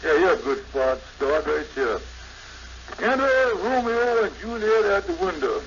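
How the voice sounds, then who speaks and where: A man's voice: high voice, big pitch swings, measured pace, a bit bright, full character voice. An actor playing a scheming, pompous middle-aged con man who mangles grand words in 1950s radio comedy style. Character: scheming blustery middle-aged male con man giving bogus lessons. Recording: noisy, muffled 1950s radio recording.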